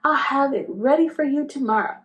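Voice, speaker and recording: A woman's voice: sits high, a little fast, fairly bright tone, pitched above the performer's own voice. A woman voicing a kindly, helpful male shopkeeper in a children's story.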